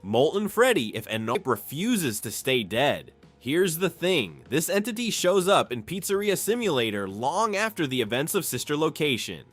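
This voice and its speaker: A man's voice: a little high, very animated, normal speed, hardly any pauses, a bit bright, faint music behind. A man narrating a scripted horror explainer, with dramatic emphasis and dry, wry understatement. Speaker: video narrator, explainer host.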